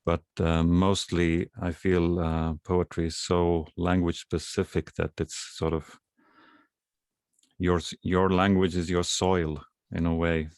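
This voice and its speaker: Male poet, very low monotone, calm, with pauses. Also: clean tone, no echo, studio quality. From Estonia. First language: Estonian. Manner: calm and unhurried, with many pauses. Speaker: guest poet. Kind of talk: casual talk before a reading.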